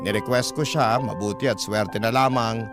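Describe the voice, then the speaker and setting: A man's voice: on the low side, moderate pace. A Filipino man narrating a scripted, emotional first-person story as a radio narrator reading a letter. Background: music bed.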